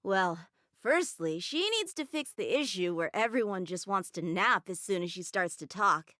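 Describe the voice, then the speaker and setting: A woman's voice: mid-range voice, lively intonation, moderate pace, pushed and bright, no room sound, clean studio sound. A woman voicing an eager, earnest teenage boy swordsman in a game, talking in a boyish, cheerful way. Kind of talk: game character voice lines.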